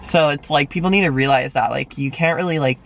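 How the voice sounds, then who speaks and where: A man's voice: on the high side, fast talker, gravelly, fairly bright tone. A young man chatting casually with a friend in a parked car, full of fillers and supportive. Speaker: friend and co-host. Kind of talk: casual chat.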